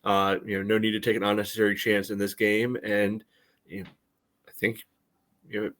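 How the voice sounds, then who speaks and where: A man's voice: lowish voice, completely flat delivery, moderate pace, fairly bright tone. A man co-hosting a sports podcast, giving casual analysis in a hesitant, loosely phrased way full of "you know".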